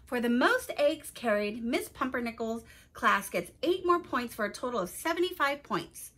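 A woman's voice: on the high side, sing-song, moderate pace, a bit bright. A woman voicing an authoritative school principal making formal announcements in a children's storybook. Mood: announcing.

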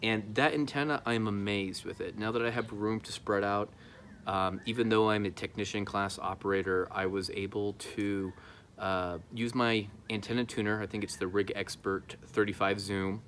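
A man in his thirties with a fairly low voice chats informally to a camera in a backyard. His delivery is hesitant and casual. There is some noise in the outdoor recording. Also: steady tone, moderate pace, fairly bright tone. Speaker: amateur radio vlogger. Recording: clearly noisy outdoor recording.

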